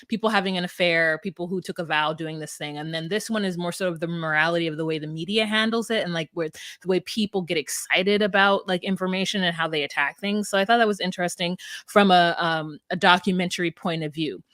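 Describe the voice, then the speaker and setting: A woman's voice: fairly low pitch, fairly quick, a bit bright, no room sound, studio quality. A woman in her thirties hosting a podcast, chatting casually with rambling asides and frequent laughter. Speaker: podcast host. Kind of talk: casual chat.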